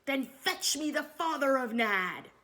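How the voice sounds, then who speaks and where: A woman's voice: mid-range voice, big pitch swings, slow, a bit bright. A woman voicing a commanding, blustering king in a children's storybook, with emphatic, projected delivery. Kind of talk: children's storybook read aloud.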